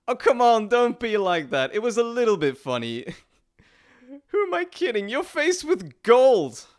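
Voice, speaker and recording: A man playing a playful, cheeky messenger god in a dramatic roleplay monologue, chatty and theatrical, talking straight to the listener. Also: high voice. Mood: gleeful, apologetic. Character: playful, teasing male Greek messenger god, cheeky trickster.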